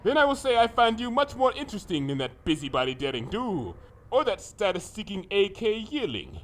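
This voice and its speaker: A man voicing a pompous, courtly villainous creature in a fan fiction reading, speaking formally and deliberately with theatrical menace. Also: sits high, huge pitch swings. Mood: intense, measured.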